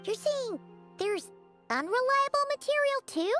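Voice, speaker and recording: A woman voicing a tiny, perky, impatient childlike fairy companion in a video game, squeaky and expressive. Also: measured pace, full character voice. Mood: puzzled. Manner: refers to self in third person, expressive. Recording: music behind the voice. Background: background music.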